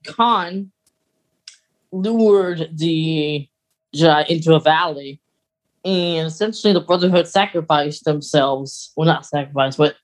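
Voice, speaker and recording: A podcast co-host in casual fan chat, geeky and halting, getting animated when amused. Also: medium pitch, poor audio. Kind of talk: casual fan chat about film lore.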